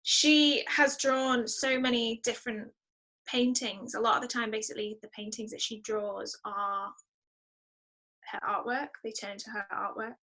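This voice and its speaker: A woman's voice: on the high side, steady tone, moderate pace, bright, forceful tone, rough recording. A woman talking casually as an interview guest, reflective and enthusiastic as she thinks through her answers.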